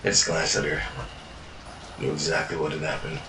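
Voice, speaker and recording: A man's voice: rumbling low, completely flat delivery, normal speed. A man narrating a first-person fiction journal in a weary, world-worn way, swearing often. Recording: echoey room, distant mic, noisy.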